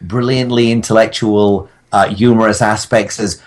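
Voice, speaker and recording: Low-voiced Englishman bantering. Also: fairly even intonation, moderate pace. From England. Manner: mock-grandiose, wordy, joking. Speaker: podcast guest, audio drama maker. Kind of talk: comic casual banter.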